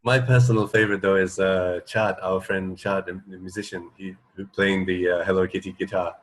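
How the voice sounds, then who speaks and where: A man's voice: low voice, little pitch movement, fairly quick. A man co-hosting a radio show in casual chat, talking in a hesitant, searching way with repeated words and little check-in questions. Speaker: radio co-host.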